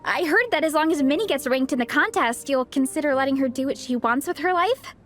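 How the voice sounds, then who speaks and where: Upbeat young heroine's friend: very high register, supportive, game dub over music. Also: female voice, lively intonation, a little fast. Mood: earnest, persuasive. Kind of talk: English game dub cutscene acting.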